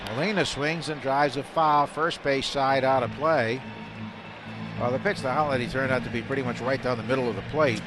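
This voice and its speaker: An American man calling live baseball play-by-play on radio, excitable on big plays and conversational between pitches. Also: moderate pace, over music. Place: ballpark broadcast booth. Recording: clear stadium noise behind the voice.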